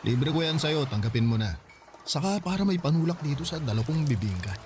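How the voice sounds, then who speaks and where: Actor as a flirty Filipino suitor, music behind. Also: male voice, fairly high pitch, expressive, a little fast, close to an everyday voice. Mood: playful, sweet. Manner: teasing, chatty. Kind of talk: Tagalog radio drama acting.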